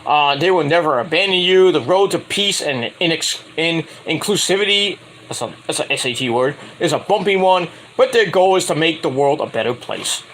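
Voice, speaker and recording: A man's voice: fairly high pitch, lively intonation, normal speed, fairly bright tone, slight background noise, low-quality recording. A man casually reviewing a wrestling show, rambling and correcting himself, with sarcastic asides while he fights off a cold. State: getting a cold, voice going. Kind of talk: casual solo review recap.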